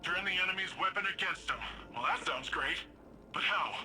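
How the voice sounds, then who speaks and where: Eager, very bright-voiced sci-fi sidekick. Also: male voice, sits high, slight background noise. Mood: wry. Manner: casual, halting, questioning. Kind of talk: dramatic video game dialogue.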